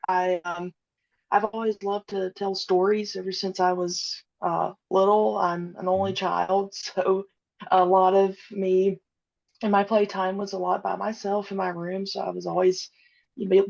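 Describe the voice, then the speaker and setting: Young female guest, vocal fry, muffled lo-fi audio, relaxed and chatty. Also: medium pitch, normal speed. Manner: easygoing, many fillers and restarts, giggly. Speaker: podcast guest, audio drama creator. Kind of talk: casual interview chat. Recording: dull lo-fi sound.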